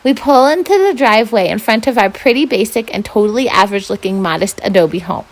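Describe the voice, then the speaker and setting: A woman reads a children's novel aloud as a volunteer storyteller. Her pitch is average for a woman, and her narration is expressive, told in the first person. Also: fast talker.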